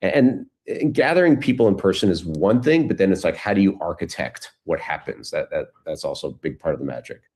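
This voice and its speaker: Male interview guest, middle-aged, deep voice, casual storyteller, loose and rambling delivery with frequent restarts. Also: animated, fairly quick, few pauses, a little mellow, husky. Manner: rambling, restarts phrases often.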